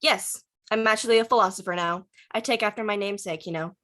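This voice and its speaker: A woman's voice: mid-range voice, fast, pushed and bright, close to an everyday voice. A woman acts out a teenage dreamer in a film drama read-through. The delivery is dry and wry, drifting between teasing banter and earnest musing. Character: Dante, a dry, wry, star-loving teenager. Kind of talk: table read of a film script.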